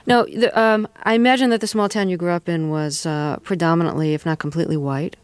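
A radio interview host asks careful, probing questions at a middle pitch. The delivery is thoughtful, with small restarts. The sound is dry and close. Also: fairly even intonation, a little fast, smooth, no echo.

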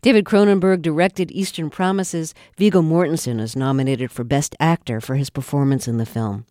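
A woman's voice: deep voice, fairly even intonation, normal speed, warm tone, no room sound. A woman hosting a radio interview show, asking questions and reading scripted introductions into a studio mic. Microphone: close studio mic.

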